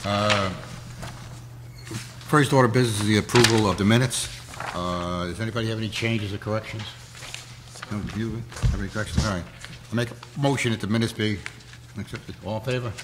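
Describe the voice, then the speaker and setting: Hoarse man chairing a town meeting. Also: lowish voice, fairly even intonation, a little fast, long pauses, background noise. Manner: plain-spoken, folksy, many fillers. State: hoarse with a cold. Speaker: zoning board chair. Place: town hall meeting room.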